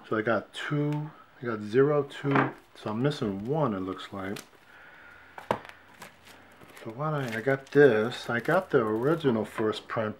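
Slow, low-voiced man listing comics. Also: slight background noise. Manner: unhurried, listing issue numbers one by one. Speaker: comic book collector showing his haul. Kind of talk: casual show-and-tell vlog. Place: indoors at a wooden shelf display. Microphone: fixed camera, hands only in frame.

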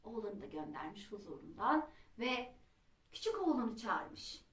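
A woman telling a children's folk tale in an expressive, dramatic traditional storytelling manner. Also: mid-range voice, moderate pace. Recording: roomy echo, distant microphone.

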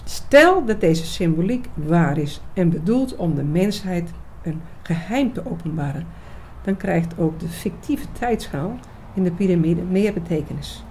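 A woman's voice: lowish voice, dark tone, slight background noise. A woman playing an eager, knowing researcher in a scripted radio drama, explaining mysteries with emphasis. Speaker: actress in an audio drama.

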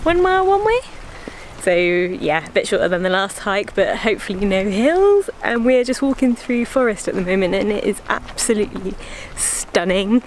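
A woman's voice: mid-range voice, very animated, normal speed, slight background noise. A young woman vlogging casually to a handheld camera, chatty and upbeat, talking in a car and then outdoors on hiking trails. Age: young adult. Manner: chatty, upbeat, laughs often. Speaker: travel vlogger. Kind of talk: casual vlog talk to camera. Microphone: handheld camera at arm's length.

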